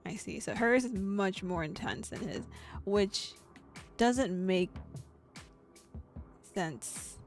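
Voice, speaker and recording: Female streamer, gravelly timbre, reads game text aloud with lively reactions; faint music bed. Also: mid-range voice, slow, long pauses. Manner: animated reactions, gasps and laughs between read passages. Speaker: streamer reading a visual novel aloud. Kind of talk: game playthrough, reading text plus reactions.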